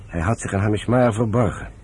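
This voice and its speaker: An actor playing a sober, devout British general in a Dutch radio drama, speaking in a measured, earnest and emphatic way. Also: male voice, lowish voice, darkish tone, a little hiss or hum, lightly acted. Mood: confiding, relieved.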